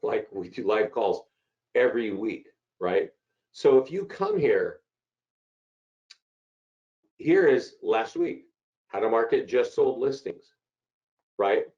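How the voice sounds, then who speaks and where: A man with a hoarse voice from a cold, hosting a live software webinar and chatting through questions from viewers. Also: medium pitch, sing-song, slow, long pauses. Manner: chatty, repeats himself, answers chat questions. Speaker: webinar host. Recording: dull lo-fi call audio.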